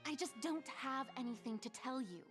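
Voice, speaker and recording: A woman's voice: high voice, normal speed, lightly acted. An actress playing a theatrical, haughty and evasive young female god in a video game, with a dramatic, breezy manner. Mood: defensive, regretful. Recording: game music behind. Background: orchestral game music.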